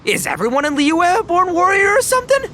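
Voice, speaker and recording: Boisterous young game oni, hugely swooping intonation, slangy, goofy enthusiasm, faint background noise. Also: male voice, very high voice, wildly swooping pitch, fairly quick, bright, forceful tone, exaggerated voice. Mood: amazed. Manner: slangy, exclamatory, dim but enthusiastic. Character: boisterous, goofy, good-hearted young male oni gang boss. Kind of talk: video game voice acting.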